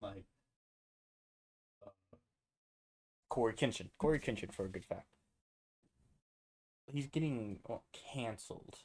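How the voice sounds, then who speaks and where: A man's voice: on the low side, animated, slow pace, pauses often, darkish tone, slight background noise, rough recording. A man hosting a casual reaction video, rambling and interrupting himself as he goes off on tangents.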